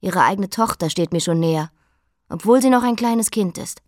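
A woman's voice: mid-range voice, normal speed, dry recording, clean studio sound, lightly acted. An actress playing a proud, imperious, cutting queen in a radio drama, haughty and declamatory. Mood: proud, musing.

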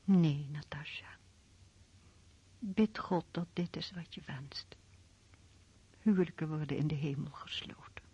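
An actress playing a gentle, pious, middle-aged mother in a radio play, speaking in a hushed, breathy voice. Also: sits low, unhurried, long pauses, heavily acted. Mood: tender, solemn. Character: gentle, pious, loving mother of a teenage girl. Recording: dull old radio recording.

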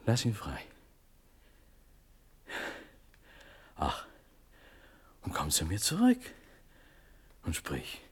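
A man's voice: sits low, huge pitch swings, slow pace, slightly rough, full character voice. An actor playing a desperate young prince begging for his life, in fervent, declamatory classical radio-drama verse. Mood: urgent pleading.

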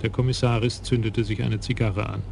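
A man narrating a radio crime play in a calm, literary way. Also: on the low side, brisk, vocal fry, a little hiss or hum. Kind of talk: scripted narration.

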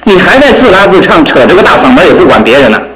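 A man's voice: high-pitched, very animated, fast, heavy background noise. An actor playing a bookish young bachelor in a radio drama, grumbling at his roommates with dry, mocking scolding. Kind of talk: Mandarin radio drama acting. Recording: roomy old broadcast with background babble.

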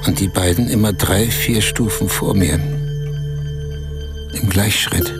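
A roguish, enraptured storyteller in a radio drama. He lingers on short emphatic phrases at a slow pace, with hugely varied pitch. Music plays clearly behind him. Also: huge pitch swings, full character voice. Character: Augusto, earthy, captivated male storyteller with roguish appetites. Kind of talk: radio play narration in present tense.

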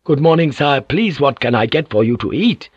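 Man doing a fawning eager innkeeper, higher voice, dull lo-fi sound. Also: expressive, moderate pace, husky, no room sound. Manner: obsequious.

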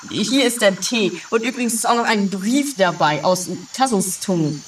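Female performer as earnest, obliging devotee, medium pitch, patient delivery, amateur radio comedy, faint background noise. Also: lively intonation, moderate pace, natural voice. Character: earnest, devout, obliging temple-building companion. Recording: faintly noisy amateur 1980s recording.

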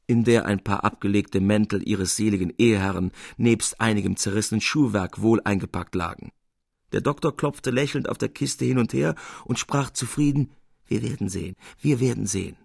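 A man narrating a literary tale expressively, with measured, theatrical emphasis. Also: on the low side, vocal fry, husky, no room sound, studio quality.

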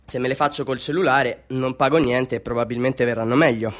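An actor playing a chatty, self-mocking Bolivian street photographer hustling tourists, with ingratiating, mock-grand patter in a theatrical radio monologue. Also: male voice, mid-range voice, level tone, a little fast, lightly acted. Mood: coaxing. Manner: showman patter, ingratiating, mock-grand, swinging into wry asides.

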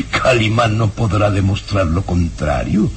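Radio villain: scheming baron, low voice, slow imperious menace, big swooping intonation. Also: male voice, lively intonation, noisy background. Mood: cold, commanding. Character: sinister, commanding baron, cold scheming villain. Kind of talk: melodramatic radio drama acting.

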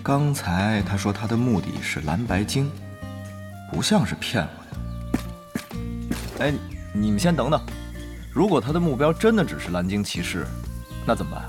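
A man's voice: on the low side, big pitch swings, moderate pace, pauses often. A man playing a wry, cautious online guild leader in a Mandarin audio drama, weighing things aloud sceptically. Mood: doubtful, worried. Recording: music behind the dialogue.

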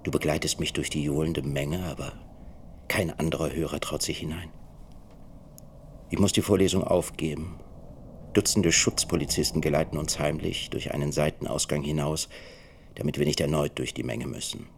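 An actor playing a defiant, bitterly ironic German professor persecuted in the 1930s, in a dramatised radio play. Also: male voice, very deep voice, fairly even intonation, normal speed, slight background noise. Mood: indignant.

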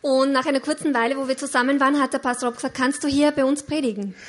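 Female church interpreter, high and rapid, follows the preacher line by line. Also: steady tone. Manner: quick, slightly improvised phrasing. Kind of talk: live sermon interpretation.

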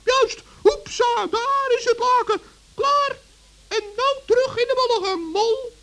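A performer voicing a small, crafty, cheerful male forest gnome with a squeaky voice and an innocent, matter-of-fact manner in a children's radio play. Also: unhurried, a bit bright, heavily acted. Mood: pleased, busy.

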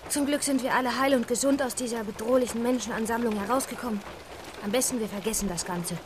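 A woman's voice: fairly high pitch, quick pace, background noise, lightly acted. An actress playing a gracious, resolute young lady in a radio play. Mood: poised. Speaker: Miss Aouda.

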